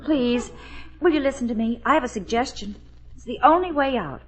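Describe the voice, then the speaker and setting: Earnest, high-voiced worried assistant. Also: female voice, expressive, fairly quick. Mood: earnest, soothing. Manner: earnest, polite, fretful. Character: loyal, worried female assistant, earnest and soothing. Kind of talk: 1940s radio comedy-drama acting. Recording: muffled vintage radio recording.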